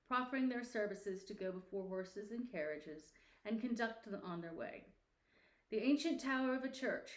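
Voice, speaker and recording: A woman reading a classic story aloud as narrator, with expressive, theatrical, deliberate phrasing. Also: mid-range voice, roomy sound, low-quality recording. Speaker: audiobook narrator.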